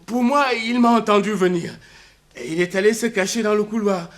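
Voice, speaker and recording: A man's voice: high-pitched, moderate pace, close to an everyday voice. A man playing a desperate, guilt-stricken religious thief, pleading and lamenting in a French radio drama. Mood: shaken confession.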